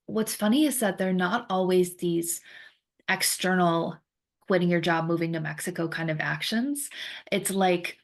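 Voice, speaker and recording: A woman's voice: on the low side, vocal fry. A woman life coach interviewing a client, encouraging and full of praise.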